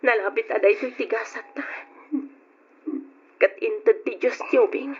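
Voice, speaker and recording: A woman playing a young mother in a radio drama, dramatic in delivery, with a breathy voice. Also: on the high side, unhurried. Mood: sad, resolute. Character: hurt but forgiving young mother, firm and dignified. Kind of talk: old-style radio drama acting. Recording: dull lo-fi radio drama audio.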